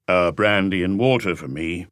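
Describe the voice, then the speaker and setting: A man voicing a calm police chief who asks short, probing questions in an audiobook mystery. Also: sits low, normal speed, smooth, dry recording, studio quality. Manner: terse, inquisitive.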